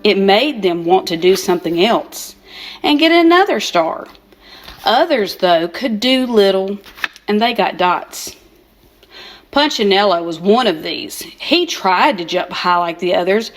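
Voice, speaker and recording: An older woman reading a children's storybook aloud in an expressive, unhurried storytelling manner. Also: medium pitch. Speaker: storybook reader.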